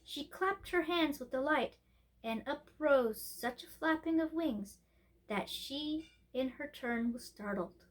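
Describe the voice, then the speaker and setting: A woman storyteller narrating a storybook in an expressive reading style. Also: high-pitched, takes it slowly, rough recording.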